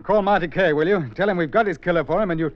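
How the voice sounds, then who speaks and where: A man's voice: high voice, fast talker, lo-fi sound, natural voice. An actor playing a hot-tempered tough man out for vengeance in 1950s radio drama style. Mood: commanding. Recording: band-limited 1950s radio recording.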